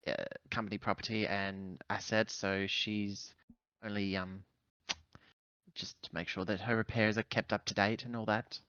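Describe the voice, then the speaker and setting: A man playing a tabletop roleplaying game, speaking casually and haltingly in character. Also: on the low side, pushed and bright, no echo, dull audio.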